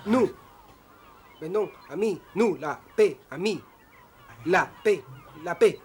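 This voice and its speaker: A man playing a curious islander in an animated cartoon, haltingly repeating unfamiliar words in a husky voice. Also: sits high, exaggerated voice. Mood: puzzled, eager. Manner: halting, repetitive. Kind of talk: dubbed animated historical cartoon acting.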